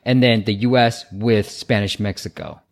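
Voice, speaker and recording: Chatty man giving quick app tips. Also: on the low side, steady tone. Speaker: app marketing advisor. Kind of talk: casual advice call.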